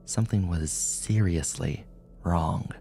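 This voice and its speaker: A man reading a scripted horror story as an audiobook narrator, in a measured, dramatic way. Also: low-pitched, warm tone, a little hiss or hum.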